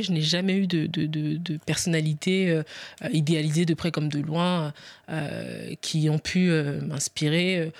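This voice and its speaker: A man's voice: a little high, gravelly, no echo. A man answering interview questions as a podcast guest, reflective and formal, hesitating and restarting as he searches for careful wording. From family origin Republic of the Congo. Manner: hesitant, formal, searching for words with repeated starts. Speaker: podcast guest, parliamentary adviser.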